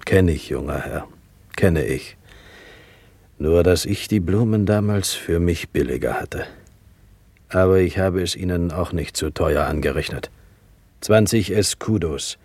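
An actor playing an older, deferential and wry flower seller in a radio play. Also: male voice, deep voice, measured pace, creaky, pauses often, darkish tone, slightly rough, dry recording, heavily acted. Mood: knowing, wry.

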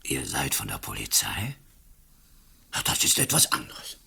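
An actor playing a pompous, imperious national councillor and colonel in a radio play, with a Swiss accent and a gravelly, husky voice. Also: male voice, rumbling low, wildly swooping pitch, unhurried, sharp tone, in a whisper, full character voice. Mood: taken aback.

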